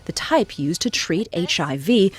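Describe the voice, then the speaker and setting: A woman reading a scripted news report as a correspondent, in a measured, formal delivery. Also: lowish voice, lively intonation. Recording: faint background noise.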